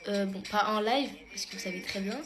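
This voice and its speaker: A woman's voice: mid-range voice, steady tone, a little fast. A teenage girl chatting casually in a get-ready-with-me vlog, answering her followers' questions with lots of fillers. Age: teens. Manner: chatty, many fillers, addresses followers as "mes stars". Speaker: beauty pageant contestant vlogger. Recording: music playing under the voice. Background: background music.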